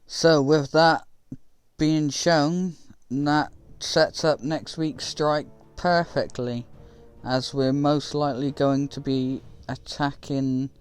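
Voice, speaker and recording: A man's voice: fairly high pitch, level tone, slow pace, clear, resonant tone, dry recording, soft background music. A man comments casually on a game as a video creator. The recording is close and dry.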